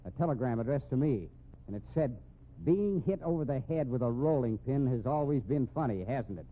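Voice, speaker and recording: An actor in a 1950s radio drama playing a gruff, exasperated police captain with a slangy, needling, sardonic manner. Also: male voice, mid-range voice, expressive, fairly quick, lightly acted. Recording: tinny, noisy old radio recording.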